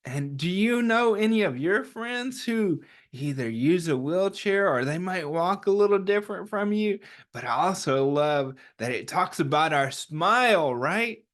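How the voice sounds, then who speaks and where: Animated, slow-paced man reading to kids. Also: high-pitched. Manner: animated, encouraging, asks questions and answers them himself. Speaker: children's story time host. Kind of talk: read-aloud and chat to young children.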